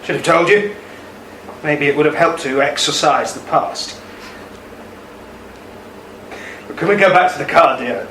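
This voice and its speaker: Guy playing regretful older army husband in a radio play, highish tense voice, hesitant, emotional, echoey room. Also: moderate pace, pushed and bright. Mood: guilty, regretful. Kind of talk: amateur radio play acting. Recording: roomy, distant mic, faint noise.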